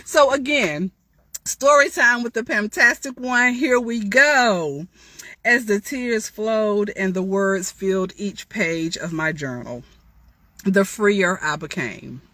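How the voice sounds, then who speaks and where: A woman with a bright, clear-edged voice speaks in a very dry, close space. She sounds like an author at storytime, moving between reading aloud and chatty, preacher-like testimony. She addresses her listeners directly and familiarly. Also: mid-range voice, slow, no echo. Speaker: author reading from own memoir.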